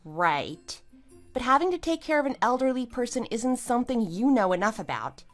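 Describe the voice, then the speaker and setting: An actress playing a put-upon but persistent middle-aged wife who reasons defensively in a scripted audio drama. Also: female voice, on the high side, sing-song, normal speed, soft background music.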